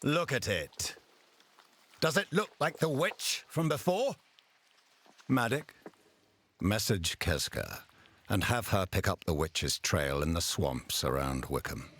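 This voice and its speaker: A cold, imperious fantasy ruler, low and husky. His speech is slow and deliberate, swinging theatrically in pitch as he heaps disdain on underlings. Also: big pitch swings, a bit bright, full character voice. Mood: scornful. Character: imperious ruler lording over his commanders, scornful and cold. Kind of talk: dramatic fantasy game acting.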